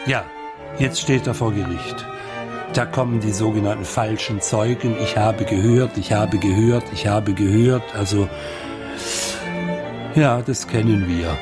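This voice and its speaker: Slow, low-voiced man musing over music. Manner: halting, musing, repeats phrases. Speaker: commentator retelling the Passion story. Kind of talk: improvised, colloquial retelling.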